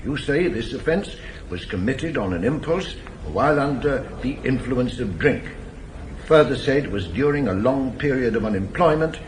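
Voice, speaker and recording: An actor playing a pompous, stern magistrate who speaks in a formal, measured way in a 1980s British radio drama. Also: male voice, medium pitch, expressive, natural voice. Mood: solemn, official. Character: pompous, stern but lenient male magistrate. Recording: faintly noisy old radio tape.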